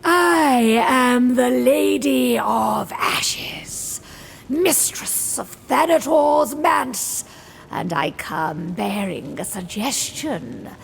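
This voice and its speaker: A scheming ghostly noblewoman for a game cutscene. Her voice is deeply creaky and unhurried, rising and falling dramatically. She sounds silky, formal and condescending, with faint noise behind her. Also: full character voice. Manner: formal, theatrical, deliberate, condescending. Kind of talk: video game cutscene acting.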